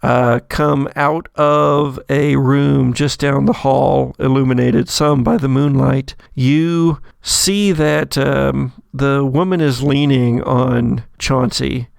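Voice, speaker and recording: A man narrating a tabletop horror game as game master, with deliberate, suspenseful pauses. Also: medium pitch, steady tone, creaky, dry recording.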